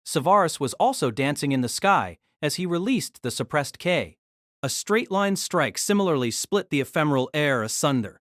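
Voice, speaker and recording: A man's voice: on the high side, expressive, normal speed, a bit bright, no echo, studio quality. A man giving a dramatic scripted narration of an action fantasy story.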